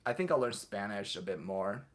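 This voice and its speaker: A young man hosting a casual livestream, reading viewers' comments aloud and answering them off the cuff in a relaxed way. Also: on the low side, normal speed. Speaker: livestream host answering chat.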